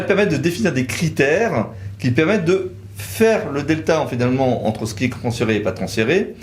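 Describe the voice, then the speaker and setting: A man's voice: medium pitch, a little mellow. A man presenting a conversational legal explainer as a lawyer, reasoning methodically and setting out nuances point by point.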